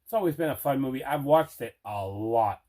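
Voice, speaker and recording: A man's voice: medium pitch, expressive, brisk, darkish tone. A man hosting a casual unboxing video, chatting away and repeating himself for emphasis. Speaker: host of an unboxing video show.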